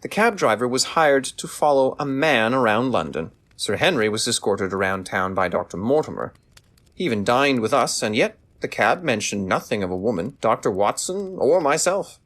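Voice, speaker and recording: A theatrical detective, very animated delivery. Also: male voice, medium pitch. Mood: accusing, assured. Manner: theatrical, deliberate, authoritative deductive speech. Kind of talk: audio drama acting.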